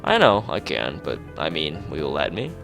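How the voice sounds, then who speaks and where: A man's voice: a bass voice, huge pitch swings, moderate pace, vocal fry, over music, exaggerated voice. A man voicing an odd, blunt, musing teenage schoolgirl artist from a visual novel, talking in matter-of-fact non-sequiturs. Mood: blunt, curious.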